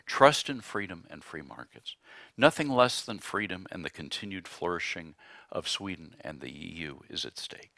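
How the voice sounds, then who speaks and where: Man, prepared conference speech, emphatic, very deep, animated pitch, dry close sound. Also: vocal fry, no room sound. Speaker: panel speaker. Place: conference venue.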